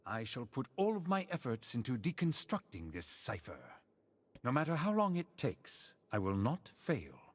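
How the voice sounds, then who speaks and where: An actor playing a devoted butler in a video game, formal and deferential. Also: male voice, medium pitch, wildly swooping pitch, normal speed. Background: faint game music.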